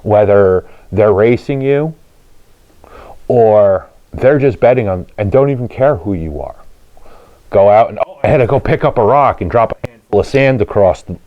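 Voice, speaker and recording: A man with a fairly low voice hosts a casual vlog. He explains and tells stories in plain, colloquial language. The sound is dry and somewhat dull, with little room around it. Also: expressive, moderate pace, no echo. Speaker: host of a car channel, drag racer. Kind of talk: casual storytelling and explaining to viewers.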